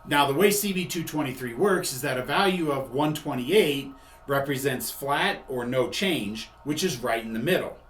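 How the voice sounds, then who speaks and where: A man with a medium-pitched voice hosts an instructional demonstration. He explains step by step in a conversational way, restating points as he goes. The recording is reverberant, with faint noise behind him. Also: expressive, moderate pace, a bit bright. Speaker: host and product demonstrator. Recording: echoey room with faint background noise.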